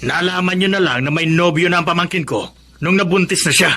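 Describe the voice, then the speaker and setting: An actor playing a disapproving, outspoken middle-aged uncle in a Filipino radio drama. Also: male voice, high-pitched, brisk, pushed and bright, exaggerated voice.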